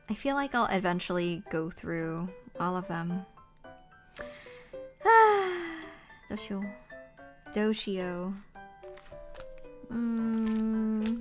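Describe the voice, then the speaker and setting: A woman's voice: on the high side, expressive, drawn-out words, pauses often, faint music behind. A woman casually chatting to her livestream viewers while sorting notebooks, rambling and laughing often. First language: Korean. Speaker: streamer.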